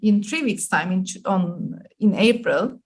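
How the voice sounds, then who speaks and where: A woman's voice: mid-range voice, expressive, unhurried, darkish tone, husky. A woman with a Turkish accent, hosting an online webinar in a polite, semi-formal way with slightly hesitant phrasing. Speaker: webinar host and moderator.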